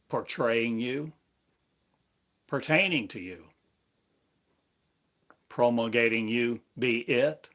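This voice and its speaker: A man preaching a prophetic sermon, speaking slowly and solemnly in the voice of God, with long pauses between phrases. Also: medium pitch, fairly bright tone.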